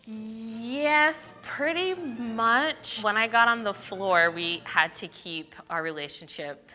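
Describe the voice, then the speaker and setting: A woman's voice: fairly high pitch, takes it slowly, sharp tone. A woman talking animatedly about her marriage, often with a laugh. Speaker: interviewee.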